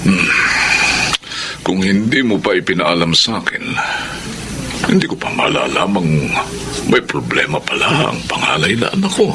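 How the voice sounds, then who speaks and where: A man's voice: low-pitched, sing-song, normal speed. An actor playing a kindly, reassuring middle-aged Filipino father in a radio drama, with avuncular little interjections. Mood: fond, concerned. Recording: background ambience noise.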